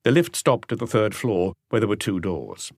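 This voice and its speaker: A man's voice: on the low side, expressive, normal speed, darkish tone, breathy edge, dry recording, clean studio sound. A man reading scripted literary narration for a mystery audiobook. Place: close, dry recording space.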